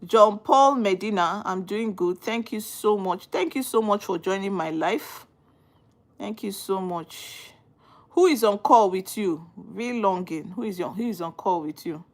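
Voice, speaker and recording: A woman's voice: medium pitch, animated, measured pace. Nigerian woman, young adult, earnest livestream host, rhetorical questions, chatty with viewers. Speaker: livestream host on legal topics. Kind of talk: live-stream talk answering viewer comments.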